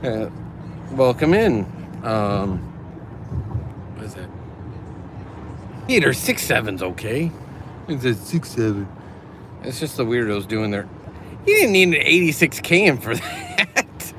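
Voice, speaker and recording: A middle-aged man talking easily in a mid-range voice as he hosts a live chat from inside a car. He greets viewers by name, chatty and quick to laugh. A faint hiss of noise sits under his voice. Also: mid-range voice, sing-song, fairly bright tone. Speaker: livestream host. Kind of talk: casual live chat reading viewer comments.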